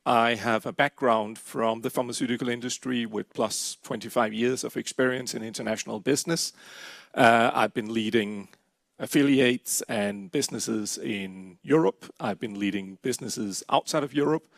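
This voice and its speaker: A man's voice: mid-range voice, moderate pace, creaky, no room sound. A middle-aged Danish man, speaking English with a Danish accent, presenting his company at an investor event in a businesslike way. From Denmark. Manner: businesslike, steady with frequent uh fillers.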